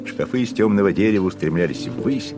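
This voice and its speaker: Deep-voiced male narrator of a gothic tale. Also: very animated, over music. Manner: measured, atmospheric. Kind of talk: radio play narration.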